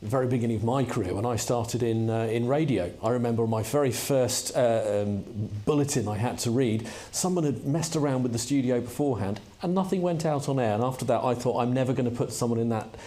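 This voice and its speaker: A man hosting a conference fireside chat, relaxed and chatty, with small hesitations. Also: mid-range voice, fairly quick, few pauses. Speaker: host.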